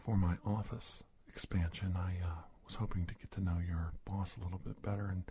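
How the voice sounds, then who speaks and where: Guy doing a polite nervous investigator for asmr roleplay, really breathy and husky, low gravelly voice, halting. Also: steady tone, dark tone, heavily acted. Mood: confiding. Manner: halting, hesitant, courteous, deliberate phrasing. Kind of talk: ASMR roleplay, soft-spoken with whispering.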